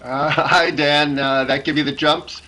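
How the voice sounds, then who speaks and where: A man playing a folksy, grumbling sound engineer in a 1930s radio drama, talking in slangy, halting phrases. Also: fairly high pitch, steady tone, noisy background, close to an everyday voice. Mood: sheepish.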